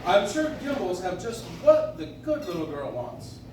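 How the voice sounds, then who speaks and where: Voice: medium pitch, very animated, a little slow. A performer playing a kindly old male Santa Claus in an amateur live radio play, speaking genially and reassuringly. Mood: jolly, reassuring. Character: kindly old department store Santa Claus, jolly man. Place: coffee house stage, recorded from the audience. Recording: distant audience recording, very echoey, noisy.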